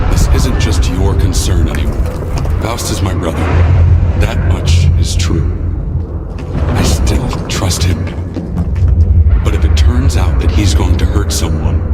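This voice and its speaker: Slow, very deep-voiced faction leader. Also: male voice. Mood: grave, earnest. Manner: grave, deliberate, measured. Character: solemn, resolute leader of a post-apocalyptic free faction. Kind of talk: dramatic game cutscene acting. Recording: roomy echo under very loud music.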